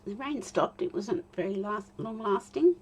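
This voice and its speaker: An Australian woman in her fifties, chatting and talking to herself while she demonstrates card making, with a slightly croaky voice. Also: mid-range voice, expressive, moderate pace. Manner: rambling, self-talk with soft laughs. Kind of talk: chatty crafting demo.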